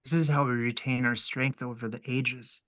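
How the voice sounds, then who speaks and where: Man as a measured, sinister killer, dull close audio. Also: medium pitch, no room sound, lightly acted. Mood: coldly menacing. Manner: measured, formal, calmly menacing. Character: polite, sinister cult son and ritual killer. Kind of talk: horror script table read.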